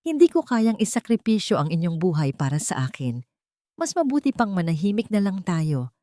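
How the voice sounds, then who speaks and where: A woman's voice: on the low side, sing-song, moderate pace, dark tone, no echo, studio quality. Woman acting a trembling, long-suffering mother. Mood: resigned pleading. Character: fearful long-suffering mother, self-sacrificing.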